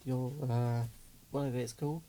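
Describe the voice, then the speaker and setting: A man's voice: medium pitch, steady tone, moderate pace, dark tone, a little hiss or hum. A man giving casual, doting unboxing commentary as a hobbyist, chatting and muttering to himself as he works. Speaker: radio hobbyist vlogger.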